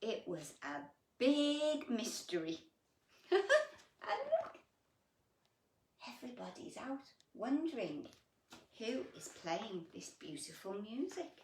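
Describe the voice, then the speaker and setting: A woman reading a picture book to young children in an expressive, gentle way, with dramatic pauses, drawn-out words and chatty, sympathetic asides. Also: fairly high pitch. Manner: gentle, sympathetic, addressing the child listener with tag questions. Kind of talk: animated bedtime story reading with chatty asides to young listeners. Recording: roomy echo, distant microphone.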